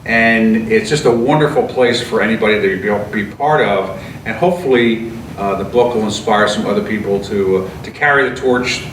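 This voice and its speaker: Older man in his sixties, earnest and steady, frequent "uh"s, recorded off-mic in an echoey room with noise behind. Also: lowish voice, normal speed, recorded from a distance. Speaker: retired fire chief and author. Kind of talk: interview answers.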